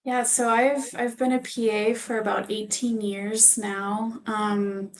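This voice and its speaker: A woman's voice: fairly high pitch, little pitch movement, slow pace, poor audio. A woman giving conversational answers as an interview guest about her own work. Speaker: guest physician assistant.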